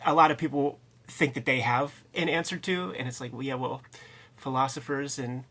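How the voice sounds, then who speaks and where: A man's voice: mid-range voice, fairly even intonation, moderate pace, fairly bright tone. A man co-hosting a discussion show, giving colloquial, off-the-cuff commentary and often searching for his words. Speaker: co-host of a comic book discussion show. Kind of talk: casual chat and commentary.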